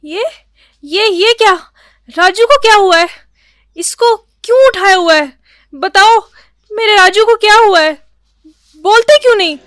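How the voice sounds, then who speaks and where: A woman's voice: very high-pitched, measured pace, pauses often, fairly bright tone, dry recording, studio quality. A woman playing an anxious, loving mother in a melodramatic radio drama, pleading and fretful. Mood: frantic.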